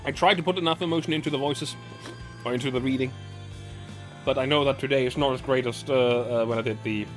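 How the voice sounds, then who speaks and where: A man livestreaming as host, reading game story aloud with casual asides and stumbling now and then, his voice slightly raspy. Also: mid-range voice, fairly even intonation, soft background music. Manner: halting, often stumbles and corrects words while reading.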